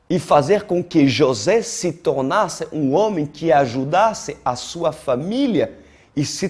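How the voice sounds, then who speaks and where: Slow, mellow male preacher. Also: on the high side, breathy edge. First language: not Portuguese; learned Portuguese as an adult. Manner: deliberate, emphatic, with dramatic pauses and repeated phrases. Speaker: pastor preaching. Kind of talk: sermon.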